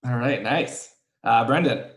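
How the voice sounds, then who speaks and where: A man's voice: medium pitch, unhurried, noisy background. Casual man hosting a video call. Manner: relaxed, many fillers. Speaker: host of an online game. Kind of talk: casual group introductions.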